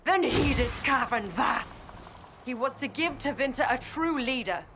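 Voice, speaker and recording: An actress playing a proud, idealistic villainess mage in a fantasy game, speaking formally and intensely in an imperious manner. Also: female voice, sits high, expressive, unhurried, noisy background. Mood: bitter. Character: proud, idealistic Tevinter mage woman, betrayed villain turning on her master. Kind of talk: dramatic fantasy game acting.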